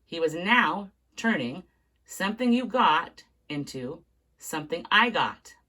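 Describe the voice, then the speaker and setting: A woman reading a book aloud in an expressive storytelling manner. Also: on the low side, measured pace. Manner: expressive read-aloud, carries on through interruptions. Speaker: book reader.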